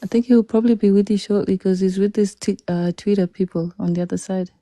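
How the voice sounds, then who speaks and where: Woman, medium pitch, very warm dark tone, dry close sound, casual chat. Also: steady tone, fast talker, dry recording. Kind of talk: casual chat on a live audio stream.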